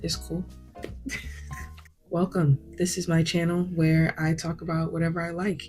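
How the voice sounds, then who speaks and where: A woman's voice: lowish voice, level tone, a little slow, a little mellow, soft background music. A woman with a New York accent chatting candidly and at length while doing her makeup in her glam room at home. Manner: verbose, bougie, candid. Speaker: vlogger.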